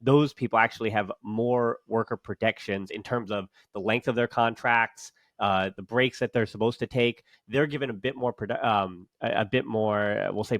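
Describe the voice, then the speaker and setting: A man's voice: fairly low pitch, a bit bright, no room sound. A man hosting a casual podcast, talking in long rambling sentences with many restarts and fillers. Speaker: podcast host.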